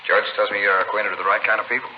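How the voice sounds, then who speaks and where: Smooth male racketeer played by an actor, vintage radio drama; low-pitched, rapid, polite, relentlessly persuasive. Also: level tone, lightly acted. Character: smooth, insistent male narcotics dealer courting a contact. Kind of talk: 1950s radio crime drama acting. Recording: noisy muffled old radio recording.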